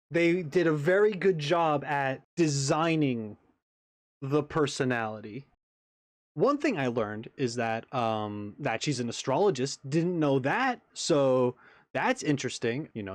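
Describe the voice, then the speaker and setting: A man's voice: medium pitch, lively intonation, slow pace, no echo. A man giving casual, off-the-cuff commentary as the host of a reaction video.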